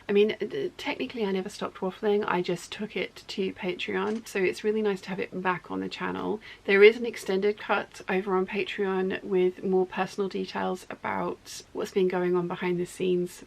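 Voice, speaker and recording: A talkative woman vlogs from her home sewing studio, wandering off track and correcting herself as she goes. Her voice is starting to go hoarse, with a gravelly fry creeping in. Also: medium pitch, low-quality recording. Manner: rambling, self-correcting, talkative. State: voice going hoarse. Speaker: sewing vlogger. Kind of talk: casual chatty vlog.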